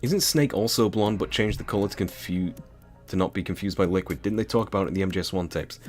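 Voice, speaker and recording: British guy reading aloud with chatty asides, very low voice, faint music. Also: fast talker. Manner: chatty, swearing, laughs at own jokes. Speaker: streamer reading a game novel. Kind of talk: reading aloud with casual commentary.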